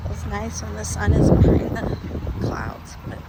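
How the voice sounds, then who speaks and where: A woman with a lilt talks through laryngitis, her voice hoarse and dropping into a breathy whisper. She rambles casually with fillers and restarts, like catching up with viewers. Loud traffic and vehicle noise run behind her. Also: mid-range voice, monotone, measured pace. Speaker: vlogger and realtor. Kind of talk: casual vlog chat.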